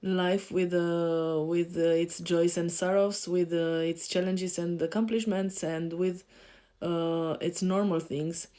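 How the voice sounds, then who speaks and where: A woman in her thirties from Romania with a Romanian accent, introducing herself to camera as a podcast host and life coach, pausing often with fillers and restarts. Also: fairly low pitch, flat delivery, dark tone. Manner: hesitant, many fillers and restarts. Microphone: facing camera.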